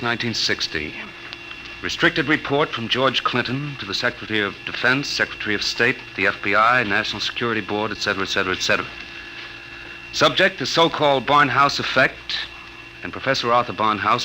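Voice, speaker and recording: An actor playing an earnest, polite young American psychology instructor in an old-time radio drama. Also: male voice, mid-range voice, a little fast, close to an everyday voice. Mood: narrating, matter-of-fact. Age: young adult. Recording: vintage radio recording with hiss.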